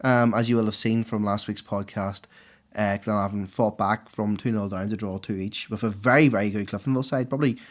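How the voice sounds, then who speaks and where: Hoarse, enthusiastic Northern Irish man. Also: on the low side, brisk. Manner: enthusiastic, animated, laughs easily. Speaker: podcast co-host giving the sport round-up. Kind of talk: casual podcast chat.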